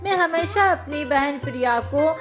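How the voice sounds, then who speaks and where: A woman's voice: high-pitched, lively intonation, moderate pace. A woman voicing a haughty, impatient, envious younger woman in a cartoon moral story, with a whiny manner. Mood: remorseful. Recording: music behind the voice.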